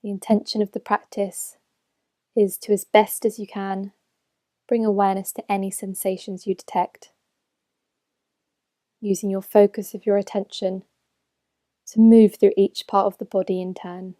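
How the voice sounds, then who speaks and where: Soothingly mellow woman guiding meditation. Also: medium pitch, flat delivery, no room sound, clean studio sound. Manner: gentle, measured, unhurried instruction with soft phrase endings. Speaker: mindfulness meditation teacher. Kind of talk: slow guided meditation narration.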